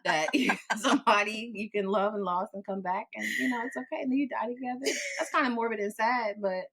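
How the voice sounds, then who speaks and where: A woman's voice: fairly high pitch, fairly quick, background noise. A young adult woman co-hosting a casual podcast chat, a bit nasal from allergies, joking and laughing often. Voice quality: nasal from pollen. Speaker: podcast co-host.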